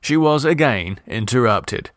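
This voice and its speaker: A man's voice: mid-range voice, expressive, a little slow, fairly bright tone, no echo, studio quality. A man narrating a comic literary short story as an audiobook reader.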